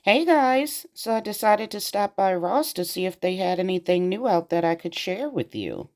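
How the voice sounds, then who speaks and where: A woman with a raspy voice, chatting casually to her viewers in a shopping vlog from inside a store. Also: medium pitch, very animated, normal speed. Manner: friendly chatty address to viewers. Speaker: vlogger. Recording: loud background music.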